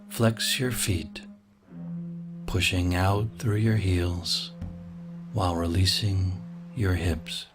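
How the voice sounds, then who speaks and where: Slow, deep-voiced male meditation guide. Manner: slow, soothing, gently paced instructions. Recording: soft music clearly behind the voice.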